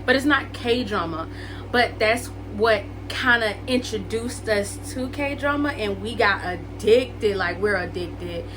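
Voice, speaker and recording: A woman's voice: medium pitch, lively intonation, slow pace, over music, rough recording. A woman in her late twenties chatting casually to her viewers, full of "like" and "um" and calling them y'all. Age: 20s. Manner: chatty, lots of likes and ums, addresses viewers as y'all and guys. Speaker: vlogger. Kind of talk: casual get-to-know-me chat to camera.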